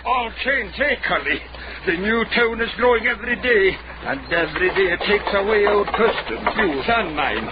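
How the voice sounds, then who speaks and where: Wily high-voiced Scottish sedan-chair man. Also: expressive, normal speed. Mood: brisk, grumbling. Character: wily, mercenary street sedan-chair carrier. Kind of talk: period radio drama acting. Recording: dull radio drama, clear background noise.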